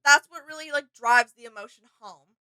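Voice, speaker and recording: Female co-host, fairly high, bright voice, informal and agreeable in casual reaction chat. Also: a little fast. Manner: agreeable, informal, backing up her co-host's points.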